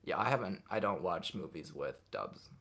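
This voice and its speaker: Husky-voiced young man chatting on a livestream. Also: low-pitched, moderate pace. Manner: relaxed, reads chat comments aloud and answers off the cuff. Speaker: livestream host answering chat. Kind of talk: casual livestream chat.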